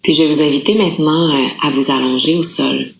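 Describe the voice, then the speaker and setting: A woman yoga nidra instructor guiding a relaxation meditation in a calm, unhurried, soothing manner with gentle pauses. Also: deep voice, vocal fry.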